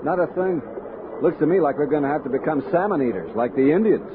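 An actor playing a steady frontier expedition captain, telling his story in measured 1960s radio drama style. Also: a little high, very noisy, lightly acted. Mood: matter-of-fact. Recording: muffled 1960s radio recording with music.

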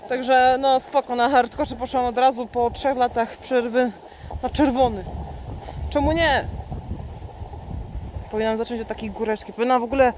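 A young woman with a high, bright voice talks excitedly and jokes with her viewers. She speaks into a phone held at arm's length outdoors. Loud wind and snow noise sit under her voice. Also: normal speed, long pauses, rough recording. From Kalisz, Poland. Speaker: vlogger. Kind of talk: casual vlog chat to viewers. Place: outdoor ski slope at night in snowfall.

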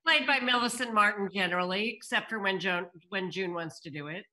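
A woman's voice: medium pitch, a little fast, bright, forceful tone. An older woman moderating a casual group video chat, putting questions to the others. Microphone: computer mic on video call.

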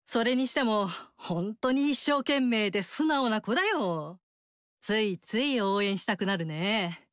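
A woman's voice: medium pitch, lively intonation, a little slow, dry recording, close to an everyday voice. An actress voicing a brash, motherly fire-goddess warrior woman in a studio game scene, talking with bold, teasing, big-sister swagger. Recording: dry studio recording.

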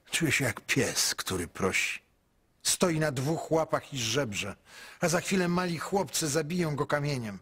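A male actor playing a grown son in a radio drama, speaking in a measured, heavy, quietly pleading way. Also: medium pitch, husky, heavily acted. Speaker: son narrating his story.

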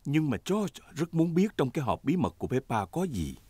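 A man's voice: a little high, very animated, fairly quick, dark tone, breathy edge, no room sound. A man narrating a children's cartoon in a scripted storytelling style. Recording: cartoon music behind the narration.

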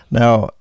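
A middle-aged man co-hosting a casual podcast chat, sometimes hoarse and clearing his throat, rambling with many restarts. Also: no room sound, studio quality. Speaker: podcast co-host.

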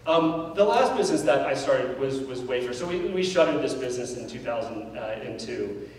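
A man's voice: mid-range voice, moderate pace, slight background noise. A middle-aged man giving a keynote on a large auditorium stage. He tells his story candidly and with self-deprecation, gesturing and moving as he talks. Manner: animated, gestures while pacing the stage. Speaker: keynote speaker. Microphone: handheld mic. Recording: echoey hall, voice from far off.

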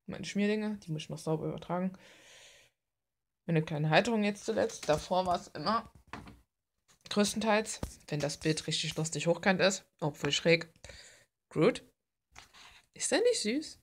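A woman's voice: on the low side, animated, measured pace, long pauses, slight background noise. A young adult woman rambling casually in an informal, playful, slangy way, like someone showing her hobby on a vlog. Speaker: hobby artist and video maker.

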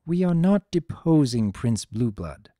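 A narrator voicing a wise, weary female pony princess, the elder sister, speaking in a measured, solemn and gentle way for a story reading. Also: medium pitch, sing-song, no echo, studio quality. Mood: gentle, amused.

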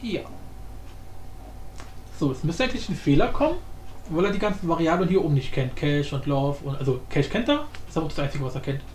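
A man's voice: on the high side, expressive, a little fast, darkish tone. A man thinking aloud in slangy, casual talk as he hosts a screen-recorded coding tutorial, muttering to himself. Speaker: tutorial host and hobby game programmer. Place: indoor room, voice over screen capture. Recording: roomy echo, faint background noise.

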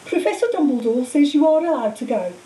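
A woman's voice: very high voice, fairly even intonation, rapid-fire, dark tone, voice pushed up. A woman voicing a strict, fussy school matron, firm, clipped and disapproving. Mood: firm, sniffy. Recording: hissy, roomy, distant microphone.

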